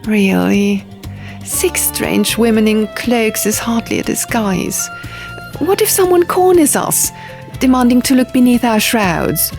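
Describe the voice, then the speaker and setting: An anxious young woman in an audiobook acted by a female narrator. She sounds high and animated, fretting through halting, incredulous questions over faint music. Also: vocal fry. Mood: anxious, doubtful. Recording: music under the reading.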